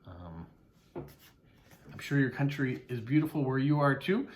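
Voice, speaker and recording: A man's voice: mid-range voice, lively intonation, measured pace. Middle-aged Canadian man, casual unboxing vlogger, chatty, filler-heavy delivery. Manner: chatty, warm thanks, frequent "uh" fillers. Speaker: postcard vlogger and mail carrier.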